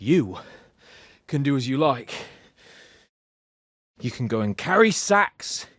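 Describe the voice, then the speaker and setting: An actor playing a haughty, hot-headed young nobleman in a video game, pompous and sharp-tongued. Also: mid-range voice, big pitch swings, slow pace, no echo, clean studio sound, exaggerated voice. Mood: wry, scornful.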